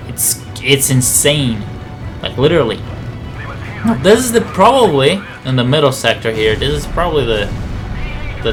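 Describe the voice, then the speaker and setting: A man provides casual commentary on a video game in a mid-range voice. He talks in a loose, rambling way, working out his thoughts as he speaks. Game music plays clearly behind him. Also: mid-range voice. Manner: rambling, conversational, thinking aloud.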